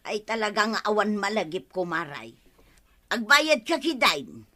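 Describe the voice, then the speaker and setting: A woman playing a bright, flustered village woman with an Ilocano accent. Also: medium pitch, very animated, a little slow. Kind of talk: Ilocano radio comedy drama acting.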